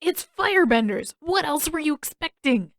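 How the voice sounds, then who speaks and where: A woman voicing an excitable, flustered teenage boy with an exasperated manner in a story. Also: high voice, normal speed, dry recording, clean studio sound, pitched above the performer's own voice. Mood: panicked.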